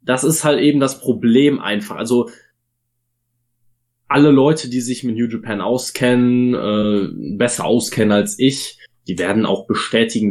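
A man's voice: on the low side, a little mellow. A man co-hosting a casual wrestling podcast, talking in an opinionated way and weighing his points with frequent fillers. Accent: German. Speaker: podcast co-host.

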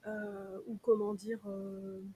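A woman's voice: medium pitch, flat delivery, takes it slowly, mellow, a little hiss or hum, low-quality recording. A woman, a podcast guest, answering thoughtfully with frequent hesitations. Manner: thoughtful, hesitant, many fillers. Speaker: guest, concert organizer. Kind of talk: casual podcast interview.

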